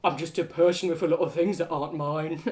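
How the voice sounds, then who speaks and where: A woman's voice: sits low, steady tone, fast, a little mellow, lightly acted. A woman voicing a dim, mocking male town guard with rustic phrasing in a fantasy story.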